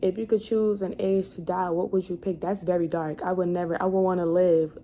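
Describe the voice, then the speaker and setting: A woman in her twenties speaks in a fairly low voice, as if chatting to her vlog viewers. She thinks aloud in a rambling way, doubling back to restart and correct herself. Some ambient noise sits behind her words. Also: level tone, a little fast, warm tone. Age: 20s. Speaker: vlogger. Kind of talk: casual chat, answering questions to camera. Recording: clearly noisy room ambience.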